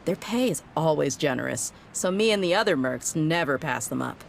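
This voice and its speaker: A woman's voice: deep voice, expressive, normal speed, gravelly, a little hiss or hum. A woman playing a tough, easygoing and loyal female mercenary in a video game, with a casual, confident delivery. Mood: relaxed, fond.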